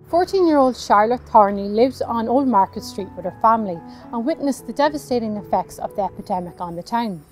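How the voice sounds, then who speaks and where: An Irish woman in her thirties, a tour guide, narrating a scripted walking tour outdoors on a town street in a clear, measured way, recorded on a lapel mic. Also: on the high side. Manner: clear, measured storytelling delivery.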